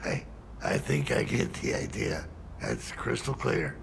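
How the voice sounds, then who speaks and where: An actor playing a cocky, playful middle-aged crime boss in a video game, with a gravelly voice and a halting, folksy drawl. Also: male voice, on the low side, lively intonation, slight background noise, exaggerated voice. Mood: smooth, flirty. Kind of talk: cinematic video game acting.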